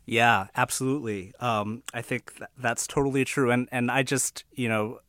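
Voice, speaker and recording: A man's voice: medium pitch, steady tone, normal speed, fairly bright tone, no echo. A man co-hosting a casual discussion, speaking reflectively and often saying "you know.". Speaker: podcast co-host.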